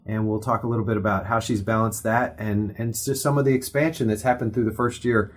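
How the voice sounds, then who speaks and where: Husky-voiced male podcast host. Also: lowish voice, steady tone. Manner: measured, friendly, halting. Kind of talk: podcast interview hosting.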